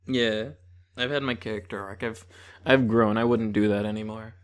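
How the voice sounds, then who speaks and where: A man's voice: lowish voice, fairly even intonation, moderate pace. Young guy on a podcast, joking around, swears a lot, rambles through stories. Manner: joking, profane, rambling storyteller. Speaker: podcast co-host. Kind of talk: casual comedic chat.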